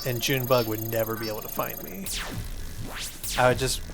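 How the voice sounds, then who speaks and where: Casual man chatting over loud game music. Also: medium pitch, fairly even intonation, a little fast, vocal fry. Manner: explains patiently, conversational, playful. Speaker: host of a family gaming video. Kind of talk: casual chat over gameplay. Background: video game music.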